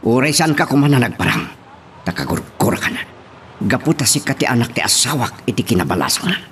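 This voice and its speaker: A man's voice: mid-range voice, very animated, fast, fairly bright tone, slight background noise. A man acting an accusing, hot-tempered husband in a radio drama, theatrical and volatile, with a Filipino accent. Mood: irritated, grumbling.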